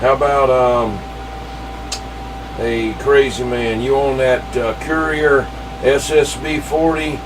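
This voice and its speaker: A man chatting informally and a little haltingly as an operator over a CB radio transmission. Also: medium pitch, fairly even intonation. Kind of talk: casual chat over CB radio. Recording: noisy CB radio, distant-sounding transmission.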